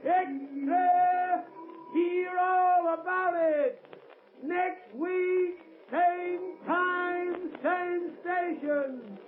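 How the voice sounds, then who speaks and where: A performer playing a street newsboy in a 1930s radio announcement, crying out in drawn-out calls. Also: very high voice, fairly even intonation, phone-quality sound, heavily acted. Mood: excited. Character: shrill street newsboy hawking papers. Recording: thin old radio recording, music behind.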